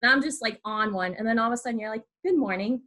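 Bright-voiced young woman hosting a casual interview. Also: a little high, fast talker. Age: 20s. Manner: informal, uses "like" and "y'all" often. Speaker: podcast host.

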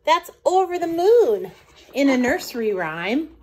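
Animated, high-pitched woman reading a picture book. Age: middle-aged. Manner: slow, deliberate, sing-song delivery for young children. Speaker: children's storytime reader.

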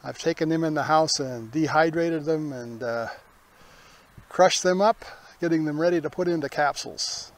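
A man, hosting a casual garden tour in a folksy, unhurried way outdoors. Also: on the high side. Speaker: gardening vlog host.

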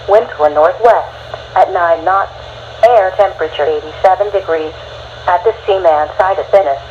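Robotic american lady doing marine weather on the radio, dull sound, slight noise, taped off a speaker by phone. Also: fairly low pitch. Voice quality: synthetic text-to-speech. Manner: formal, even recitation. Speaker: automated weather radio announcer. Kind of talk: scripted marine weather report. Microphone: phone held to a radio speaker.

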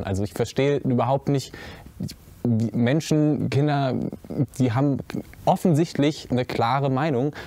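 A young man answers an interview question with indignation, firing off rhetorical questions. His voice is mellow and dark in tone. The sound is close and dry. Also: mid-range voice, dry recording. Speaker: interview guest. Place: indoor stage hall with curtains.

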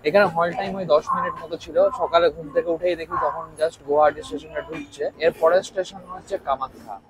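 A man's voice: on the high side, fast, a crowd in the background, poor audio. A young man, chatting casually to viewers as a travel vlogger while filming himself at arm's length. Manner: lively, chatty, points things out to viewers. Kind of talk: casual travel vlog narration. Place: train coach and station platform, mostly moving train. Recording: train and station noise clearly behind.

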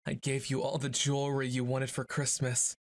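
A man's voice: mid-range voice, monotone, normal speed, dry recording, clean studio sound. A man performing a boyfriend ASMR roleplay, speaking to an unseen listener in a moody, dramatic way.

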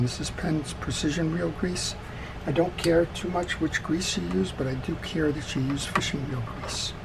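A man's voice: fairly high pitch, creaky. A man giving a methodical, casual step-by-step repair tutorial as he works at a workbench. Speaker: fishing reel repairer presenting a tutorial. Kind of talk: hands-on instructional demonstration, casual step-by-step talk. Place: indoors at a wooden workbench. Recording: steady background noise.

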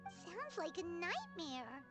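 A tiny fairy companion pipes up in an extremely high, childlike squeak. She is outspoken and swoops expressively, in a video game with music playing behind her. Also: takes it slowly, heavily acted. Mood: unimpressed. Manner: cute, expressive. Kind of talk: scripted game dialogue. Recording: background music under the voice.

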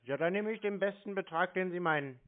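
A man's voice: high voice, brisk. A man on a phone call answering tersely in an obtuse, naive-sounding way. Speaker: prank call recipient stringing along a scammer. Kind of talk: phone conversation. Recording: narrow muffled phone line.

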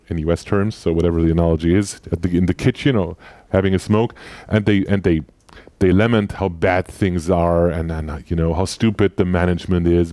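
A man's voice: low-pitched, mellow, no echo. A man in his forties giving a conversational conference talk on a headset mic, often tagging sentences with "right?". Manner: conversational, frequent "right?" tag questions; gestures while walking the stage. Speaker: conference speaker. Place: lecture room with projector.

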